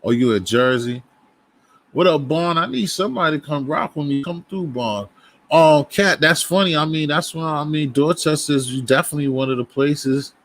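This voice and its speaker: A man from Boston with a Boston accent talks to his viewers on a casual livestream. His speech rambles and restarts as he greets people and shoots the breeze. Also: a little high. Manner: loose, rambling talk to viewers, frequent restarts. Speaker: livestream host.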